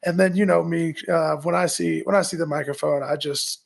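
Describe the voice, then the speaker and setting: A man with a raspy voice, a musician guest talking in an energetic, pep-talk way and often tagging on "right?". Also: fairly high pitch, brisk. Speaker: musician guest, former baseball pitcher. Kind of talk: casual interview talk.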